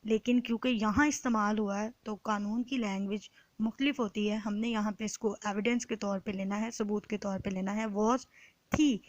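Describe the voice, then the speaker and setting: A woman with a Pakistani accent giving an explanatory lecture in a deliberate, instructive way, repeating points in other words. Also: mid-range voice, steady tone, dry recording, highs cut off. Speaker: law lecturer. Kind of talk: explanatory exam-prep lecture, reading and translating.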